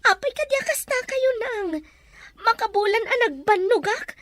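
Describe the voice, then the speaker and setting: Woman doing upset daughter in ilocano radio drama, really high thin voice, fed up with parents. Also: animated, normal speed, a bit bright, dry recording. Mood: reproachful, upset. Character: upset grown daughter supporting her parents with her salary.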